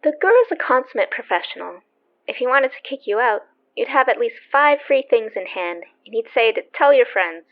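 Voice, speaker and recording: An actress playing a polite, chatty young shop assistant with a wry streak in an audio drama. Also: female voice, on the high side, big pitch swings, a little fast. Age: young adult. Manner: courteous, helpful, wry. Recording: muffled sound with music behind.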